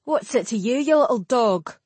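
Cocky young swordsman, taunting, higher and louder than the narration, done by a woman. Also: normal speed, breathy edge, no echo, close to an everyday voice. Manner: taunting, sneering, childish howls. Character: hotheaded young male guardsman, boastful.

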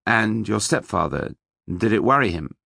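A man's voice: lowish voice, expressive, moderate pace, vocal fry, bright, forceful tone, dry recording, studio quality, exaggerated voice. A man voicing an elderly, polite and hesitant male investigator in an audiobook. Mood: curious.

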